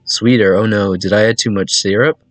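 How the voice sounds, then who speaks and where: A man's voice: fairly low pitch, fairly even intonation, normal speed, dry recording, lightly acted. A man voicing an eager, nervous visual novel protagonist who is anxious to please. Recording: music behind a dry voice.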